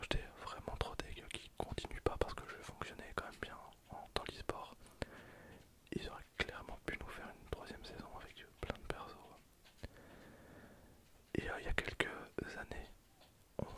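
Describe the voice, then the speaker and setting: Whispering ASMR gamer. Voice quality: breathy, unvoiced whisper. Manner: casual slangy asides with hesitant trailing phrases. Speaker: host of an ASMR gameplay channel. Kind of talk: whispered ASMR gaming commentary.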